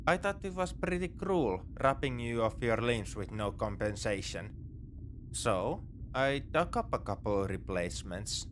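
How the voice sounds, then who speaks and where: A man's voice: mid-range voice, expressive, unhurried, slight background noise, close to an everyday voice. A man playing a morally grey space pirate captor in a sci-fi ASMR roleplay, talking in a casual, wry, coaxing way. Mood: wry, defensive. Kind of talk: second-person sci-fi ASMR roleplay acting.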